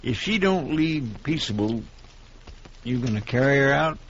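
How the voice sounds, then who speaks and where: An earnest American sidekick to a frontier lawman, mid-pitched, folksy and deferential. It is radio western acting on a muffled, faintly noisy old recording. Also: animated, a little slow, fairly bright tone. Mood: deferential, curious. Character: loyal, simple, folksy male deputy of the marshal.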